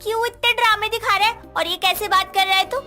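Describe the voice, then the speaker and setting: Girl doing a bossy caring cartoon sister, high sharp voice, cheeky and scolding, faint music. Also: steady tone, quick pace. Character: sassy, caring young student elder sister. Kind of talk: animated moral story voice acting.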